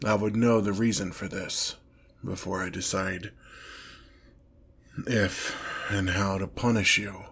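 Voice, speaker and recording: An ancient lord of chaos acted by a man holds forth in a low voice for an ASMR fantasy roleplay. He takes his time over every phrase, pompous and theatrical. His menace comes with haughty exasperation at petty mortals. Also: steady tone, vocal fry, a bit bright, a little hiss or hum, heavily acted. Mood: imperious, menacing. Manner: pompous, theatrical, deliberate drawn-out delivery.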